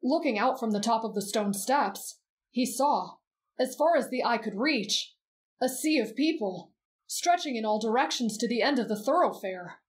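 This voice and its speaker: Soft gentle teen boy voiced by a woman, kinda high, measured bedtime story reading, asmr roleplay. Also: expressive, close to an everyday voice. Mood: measured storytelling.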